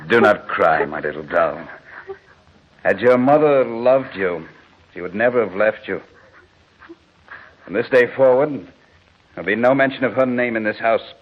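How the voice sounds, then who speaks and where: An actor playing a stern, possessive, wealthy father with a husky voice and solemn delivery in a 1940s radio drama. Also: on the low side. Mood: bitter, stern. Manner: solemn, deliberate, theatrical. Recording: tinny phone-like 1940s radio recording.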